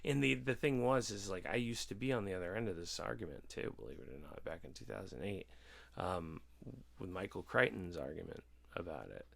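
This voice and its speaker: A man's voice: low-pitched, lively intonation, fairly quick, vocal fry. A man talking casually and earnestly, explaining his point in a loose debate. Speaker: podcast participant in discussion.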